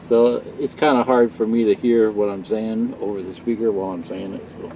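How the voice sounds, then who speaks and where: A man giving a casual hands-on tutorial, talking haltingly as if thinking aloud. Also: lowish voice, highs cut off. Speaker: host of a beginner radio tutorial. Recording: loud steady hum and hiss.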